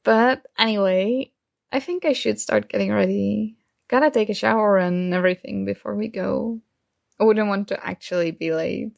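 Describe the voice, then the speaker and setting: A woman's voice: mid-range voice, moderate pace, creaky, no room sound, clean studio sound, lightly acted. A woman acting a young roommate who is shy, teasing and smitten with a friend, in a playful, conversational ASMR roleplay.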